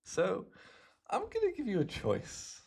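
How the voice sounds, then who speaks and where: A man voicing a smug, playful hypnotist supervillain in an ASMR roleplay, gloating and teasing the listener in a gravelly voice. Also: high-pitched, wildly swooping pitch, measured pace, a little mellow, full character voice. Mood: teasing, pleased. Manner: gloating, teasing, theatrical, swooping between murmurs and exclamations.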